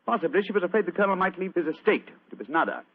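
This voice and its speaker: Shrewd gentleman sleuth, husky, courteous, authoritative, radio drama, muffled vintage recording. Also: sits high, sing-song, rapid-fire. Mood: commanding, explanatory. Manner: hesitant coaxing, formal explaining.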